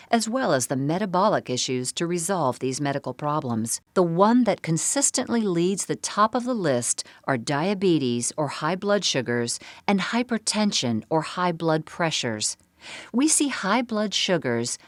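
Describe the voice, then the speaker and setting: Female narrator for a medical seminar. Notably low register, dry recording, measured and explanatory. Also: dry recording. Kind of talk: scripted narration of medical presentation.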